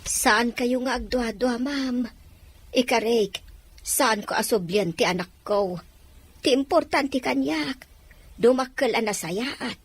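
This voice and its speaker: Woman acting husky, pleading middle-aged mother. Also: on the high side, slow, exaggerated voice. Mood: earnest, deferential. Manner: deferential, pleading. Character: pleading 46-year-old mother giving up her baby. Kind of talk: Ilocano audio drama acting.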